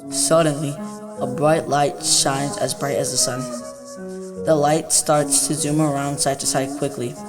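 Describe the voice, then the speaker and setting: Even-toned young teenage boy reading over music. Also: on the high side, a little slow. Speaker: student reading own story. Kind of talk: scripted story reading.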